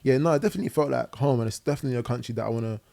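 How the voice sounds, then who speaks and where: A quick, chatty young man with a London accent talks freely on a podcast, his voice gravelly with a mellow, warm tone. He speaks into a boom mic in a living-room set, and his talk is full of fillers and "innit". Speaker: guest musician. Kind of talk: casual podcast interview. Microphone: boom mic on arm.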